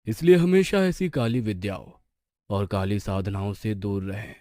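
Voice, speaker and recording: A man narrates a scripted horror story. His voice is fairly low, dark and relaxed, captured very dry and close. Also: moderate pace, no room sound.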